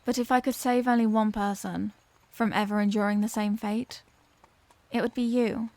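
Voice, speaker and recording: A woman's voice: medium pitch, fairly even intonation, moderate pace, darkish tone, no echo, natural voice. A woman voicing an anguished, tender Victorian lady vampire in an intimate audio roleplay, speaking in formal, earnest phrases. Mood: distressed, pleading. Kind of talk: scripted audio roleplay, intimate dramatic monologue.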